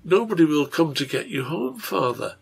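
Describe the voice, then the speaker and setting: A man voicing a gentle, pious young girl on her sickbed in a story, speaking quietly and earnestly to her father. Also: a little high, sing-song, normal speed, vocal fry, husky, pitched above the performer's own voice, exaggerated voice. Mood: hesitant, earnest. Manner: quiet, tender, intent delivery.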